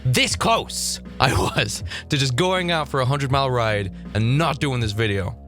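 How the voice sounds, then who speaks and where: A man with a Northern Irish accent and a slightly hoarse voice, chatting animatedly as he reacts to clips at a desk mic in his streaming room. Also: medium pitch, soft background music. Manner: animated, quick asides, frequent laughter. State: slightly hoarse from vocal fatigue. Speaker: goth reaction video host. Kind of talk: casual reaction commentary. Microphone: desk mic on boom arm.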